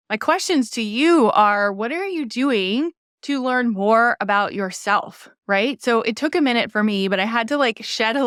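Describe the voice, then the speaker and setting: Woman podcaster in her 30s, smooth clear voice, a bit high, dry close mic, lots of "like" and "right?". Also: a bit bright, dry recording. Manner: conversational, frequent "like" and "right?"; encouraging, asks listeners questions. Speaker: podcast host. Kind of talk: reflective solo podcast monologue.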